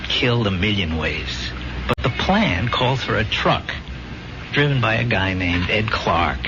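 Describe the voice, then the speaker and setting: A brash, restless American charmer, an old army pal telling it in streetwise slang. The voice is on the low side, in a 1970s radio play with prominent music and a dull, lo-fi sound. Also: male voice, expressive, measured pace. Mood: matter-of-fact. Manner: slangy, emphatic, streetwise storytelling. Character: brash, restless dead army buddy, fast-talking charmer. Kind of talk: 1970s radio drama acting.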